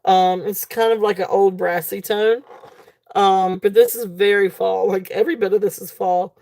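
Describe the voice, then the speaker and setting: Woman, live sale host, mellow gravelly voice going hoarse, chatty with plenty of fillers. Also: medium pitch, steady tone, moderate pace. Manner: chatty, fillers, reads comments aloud. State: losing her voice. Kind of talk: live auction selling chat.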